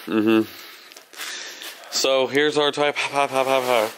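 A man hosting a casual vlog while filming in an old barn and overgrown yard, loud and jokey, swearing freely. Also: medium pitch, fairly even intonation, slow. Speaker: channel host filming. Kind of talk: casual joking vlog chat. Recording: noisy outdoor camera audio.

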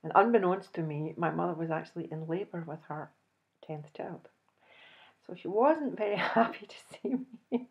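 A woman in her fifties with a Scottish accent, telling a personal story to camera in an animated, chuckling way. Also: on the low side, moderate pace, creaky, muffled recording. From Scotland. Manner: animated, chuckles often, acts out dialogue. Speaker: host. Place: indoors, small room, plain backdrop.

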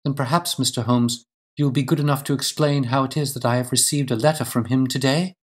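A man's voice: medium pitch, steady tone, fast, breathy edge, pitched above the performer's own voice, natural voice. A man voicing an earnest, composed but anxious Victorian wife in an audiobook, with formal, insistent, precise delivery. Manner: formal, emphatic, precise diction. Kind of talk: audiobook character dialogue.

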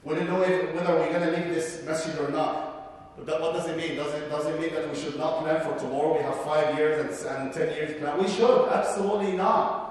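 A man in his forties preaching a sermon, slightly high-pitched and impassioned, dropping to hushed asides and then pressing hard again. His voice rings around a huge hall with a long reverb, heard a little off the mic. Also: steady tone, recorded from a distance. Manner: impassioned, shifts between loud urging and hushed asides, quotes Arabic rapidly. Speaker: guest imam giving the Friday sermon. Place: large mosque prayer hall. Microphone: handheld mic.

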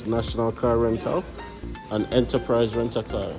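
A man's voice: medium pitch, moderate pace, over loud music. A man with a Jamaican accent, a family vlogger and father, chatting casually as he walks through a small airport. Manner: relaxed, chatty narration to camera. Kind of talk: casual family vlog walkthrough. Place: small airport terminal, indoors.

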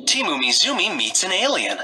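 A man narrating a read-aloud story for young children in short, expressive sentences. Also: high voice, brisk, slight background noise.

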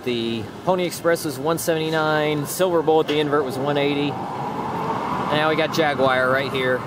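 A man's voice: a little high, steady tone, normal speed, very noisy. A man narrating a casual, off-the-cuff vlog outdoors on a handheld camera, in short matter-of-fact remarks. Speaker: theme park vlogger. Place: outdoors in an amusement park. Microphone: handheld camera mic.

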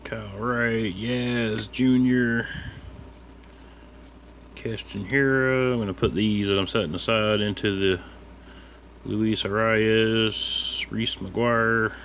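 Slow, low-pitched man chatting casually. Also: vocal fry. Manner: laid-back slangy muttering, naming items one by one. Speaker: sports card hobby live streamer. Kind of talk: casual chat while sorting through cards. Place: indoors at a desk with a computer. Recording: steady background room noise.

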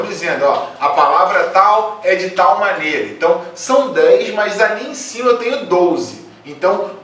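A man's voice: sits high, a bit bright, recorded from a distance. Friendly young guy explaining worksheets, heavy echo, faint hiss behind. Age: young adult. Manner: friendly, encouraging, addresses viewers as "gente linda". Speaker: memory workshop teacher. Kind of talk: explaining worksheet exercises to a class. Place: small room indoors. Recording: very echoey room, voice off-mic.

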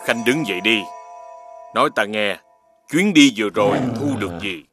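An actor playing an aged, dignified king in an animated fairy tale, speaking solemnly and with command. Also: on the low side, moderate pace, music in the background, close to an everyday voice. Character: aged, dignified king addressing subjects and sons.

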